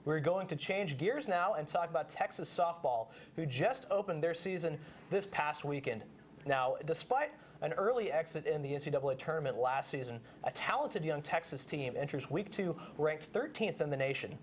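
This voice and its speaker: A man's voice: fairly high pitch, animated, normal speed, a little hiss or hum. A young man hosting a sports show, giving preview commentary and now and then stumbling over his phrasing. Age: young adult.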